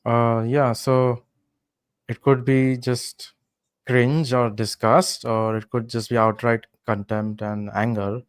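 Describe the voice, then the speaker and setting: A young man from India, a podcast guest, telling travel stories in relaxed casual chat with many fillers. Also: mid-range voice, takes it slowly, clean tone, no room sound.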